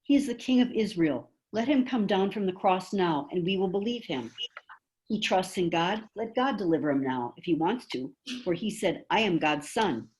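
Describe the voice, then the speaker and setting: A woman's voice: fairly low pitch, lively intonation, moderate pace, darkish tone, close to an everyday voice, poor audio. A woman reading the parts of crowd members and priests in a dramatic scripture reading. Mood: mocking. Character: mocking crowd, bystanders and priests of biblical Jerusalem. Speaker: volunteer reader in a church Passion reading.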